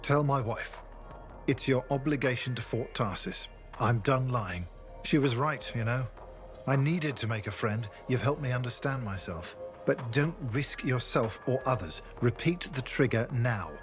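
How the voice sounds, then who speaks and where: A man's voice: mid-range voice, lively intonation, measured pace, soft background music, close to an everyday voice. Man playing a solemn, haunted spy. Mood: remorseful, earnest. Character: brainwashed spy, remorseful husband, grave and haunted. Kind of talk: video game cutscene acting.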